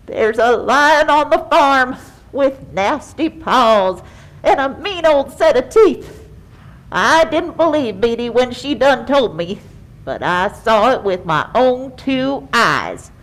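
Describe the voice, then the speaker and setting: A woman's voice: high-pitched, lively intonation, slow pace, low-quality recording. A woman voicing a folksy, easygoing farm dog with rustic country talk in a children's puppet show. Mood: spooked.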